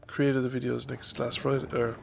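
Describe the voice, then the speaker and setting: A man's voice: mid-range voice, level tone, moderate pace, vocal fry, faint music behind. A man in his fifties with a stuffy nose from a head cold, rambling hesitantly with many ums in a casual vlog update at his desk microphone. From Ireland. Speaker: YouTuber. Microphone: desk microphone on a stand.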